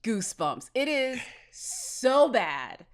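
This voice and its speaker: A woman's voice: a little high, big pitch swings, slow. A woman co-hosting a movie podcast, chatting casually and enthusiastically about films. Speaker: podcast co-host.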